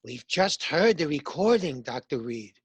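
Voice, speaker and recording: A woman's voice: low-pitched, very animated, unhurried, breathy edge, no room sound, full character voice. An actress in a radio play, playing a shaken, grave woman, earnest and dramatic in her questioning. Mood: grave, pressing.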